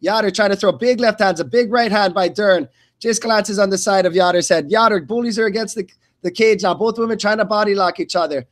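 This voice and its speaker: A man giving live blow-by-blow sports commentary as a play-by-play announcer, in a halting delivery that repeats and stumbles over words. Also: sits high.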